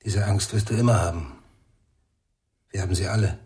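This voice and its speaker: Very husky low male voice, guarded protector, grave, terse, insistent, radio crime drama. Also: steady tone, normal speed, darkish tone. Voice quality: very husky, breathy. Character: guarded, secretive man protecting a fugitive, grave. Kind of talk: German radio drama acting.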